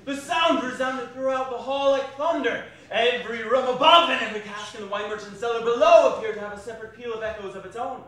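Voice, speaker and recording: A distant, very echoey recording of a man reading a classic tale aloud as a stage narrator. His voice is notably high, with faint noise around it. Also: fast, hardly any pauses, fairly bright tone. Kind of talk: theatrical dramatic reading of a classic story.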